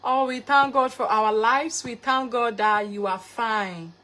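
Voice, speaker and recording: A woman's voice: a little high, fairly even intonation, a little slow, sharp tone. A young woman from Ghana with a Ghanaian accent, hosting a live cooking stream and greeting viewers by name with repeated thanks. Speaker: cooking livestreamer.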